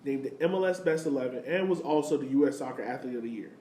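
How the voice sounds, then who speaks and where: A man's voice: mid-range voice, quick pace, dark tone. A man of thirty hosting a podcast, talking sport in a casual, slangy and enthusiastic way. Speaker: podcast host. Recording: roomy, distant mic, faint noise.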